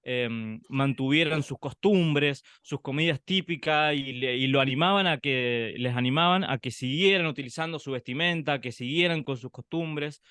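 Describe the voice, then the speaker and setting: A man co-hosting a podcast, talking through his thoughts in a relaxed, reflective way, with frequent pauses and tag questions. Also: medium pitch, smooth, dry recording. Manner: reflective, thinks aloud with many "em" pauses and tag "¿no?". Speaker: podcast co-host. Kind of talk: conversational podcast chat.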